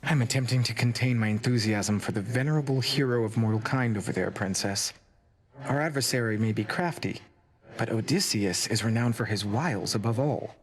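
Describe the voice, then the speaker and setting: A man's voice: medium pitch, brisk, a little hiss or hum, lightly acted. A man voicing a dignified, wryly courtly warrior shade in a video game, formal and restrained.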